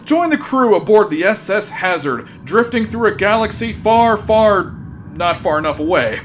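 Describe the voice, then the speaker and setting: Male narrator, tongue-in-cheek, high-pitched and very expressive, scripted space comedy. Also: normal speed. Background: faint music.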